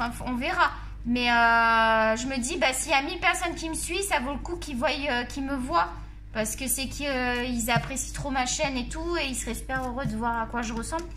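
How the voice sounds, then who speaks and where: A high, bright-voiced woman with a Marseille accent talks in a chatty, familiar way, joking with her viewers as she colors. It is a relaxed home vlog recorded at her desk. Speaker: art vlogger reviewing colored pencils. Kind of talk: casual chat while coloring on camera. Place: at a desk at home, face off camera.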